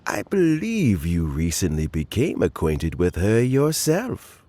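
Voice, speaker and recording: An actor playing a frail elderly statesman in a video game, courteous and wry, with formal, measured phrasing. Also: deep voice, wildly swooping pitch, dark tone, slight background noise, full character voice. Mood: measured, weary. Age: older. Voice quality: creaky, aged voice. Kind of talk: scripted video game dialogue.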